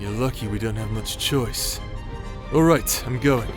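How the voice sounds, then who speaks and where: A man's voice: mid-range voice, measured pace, natural voice. A man playing a brash, foul-mouthed warrior in an audio roleplay, sarcastic and taunting, with music behind him. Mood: sardonic, wary. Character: cocky, foul-mouthed male warrior, self-declared bastard, brash and mocking. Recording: voice over background music.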